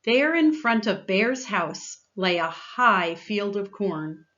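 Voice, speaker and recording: A woman reading a children's book aloud in an expressive, deliberate, dramatized storytelling style. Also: medium pitch, muffled recording.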